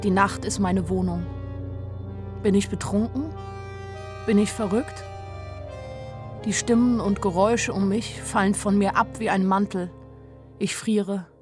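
A woman's voice: medium pitch, breathy edge. An actress playing a young shopgirl in love, narrating a radio play in a plain, reflective, anxious and melancholy way. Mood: anxious, sad.

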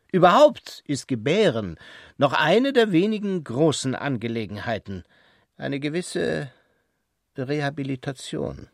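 A zealous, self-important male prophet for radio drama. He declaims slowly and swings widely in pitch, stressing his pronouncements heavily. The sound is dry and close. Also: dry recording. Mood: musing, dismissive. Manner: declamatory, emphatic, slow pronouncements. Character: pompous male prophet and writer, zealous, dismissive of women. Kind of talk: literary radio drama acting.

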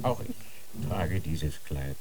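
An actor playing a stern elderly grand inquisitor in a radio drama, grave and commanding. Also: male voice, rumbling low, expressive, takes it slowly, mellow, heavily acted.

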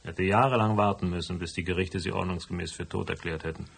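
An actor playing a stubborn, hardboiled private detective in a German radio drama, dry, laconic and matter-of-fact. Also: male voice, low-pitched, steady tone, fast, gravelly, husky, heavily acted. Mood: dry, explaining. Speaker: voice actor and first-person narrator.

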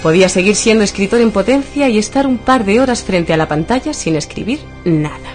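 A woman's voice: medium pitch, lively intonation, fairly quick, darkish tone. A woman with an accent from Spain narrating a scripted radio story. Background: music.

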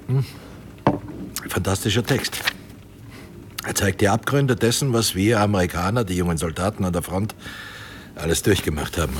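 Army colonel, deep, animated, measured, emphatic, patronising, radio drama. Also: male voice, a little hiss or hum. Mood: effusive praise. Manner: measured, emphatic, condescending, deliberate pauses. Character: American army colonel and newspaper chief, smoothly patronising.

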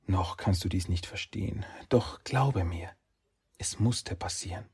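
A serene female fox spirit murmurs in hushed, breathy tones, as a man reads her in a storybook. Her voice sits low and gravelly, very husky, close to a whisper. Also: measured pace, exaggerated voice. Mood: soothing, hushed. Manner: soft, hushed, often whispering.

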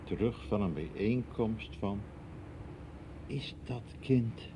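An actor in a radio soap playing a puzzled, hesitant man with a halting, questioning manner. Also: on the low side, noisy background. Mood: puzzled, curious. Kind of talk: 1990s Dutch radio soap acting.

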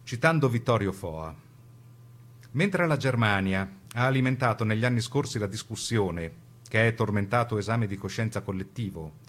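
A man presenting scripted radio documentary narration in a measured, rhetorical way. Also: lowish voice, expressive, husky.

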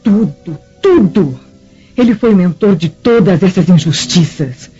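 A woman's voice: on the low side, animated, a little slow, mellow. A woman playing a bitter, venomous Roman mother in a radio drama, speaking with theatrical, emphatic indignation. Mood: bitterly indignant. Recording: dull radio drama recording with music.

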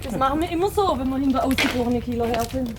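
A woman acts a pedantic trainee detective with a cheeky streak in a radio play. Her voice is fairly high, and the recording is noisy. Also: very fast, natural voice. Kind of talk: German radio play acting.

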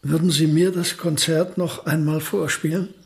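An elderly physicist of genius in a radio play, his voice notably high for a man. He speaks in precise, politely formal phrases, modest on the surface and quietly stubborn underneath. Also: flat delivery, moderate pace, vocal fry. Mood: curious, polite. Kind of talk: German radio play acting.

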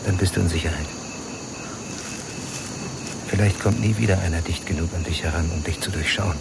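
An actor playing a guarded, bitter lover in a German radio crime drama, speaking bluntly and wearily. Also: male voice, deep voice, fairly quick, gravelly, heavy background noise. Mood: weary, bitter. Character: Mark, guarded, bitter married lover, coolly accusing.

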